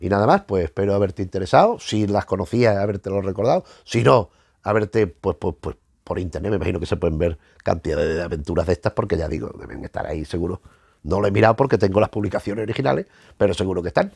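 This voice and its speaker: A man's voice: sits low, expressive, fast, vocal fry, darkish tone, husky, no echo, clean studio sound. A man in his fifties with a Spanish accent from Spain, chatting casually as a review host, often repeating words as he searches for phrasing. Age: 50s. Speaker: host of a retro comics review show. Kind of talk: casual, rambling monologue to camera. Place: small book-lined room at home, indoors.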